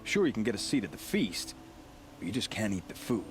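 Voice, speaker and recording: A man's voice: lowish voice, sing-song, brisk, faint music behind. An actor playing a blunt, disgruntled male hunter in a video game, speaking in a dry, sardonic way. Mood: wry, cautioning. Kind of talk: video game dialogue acting.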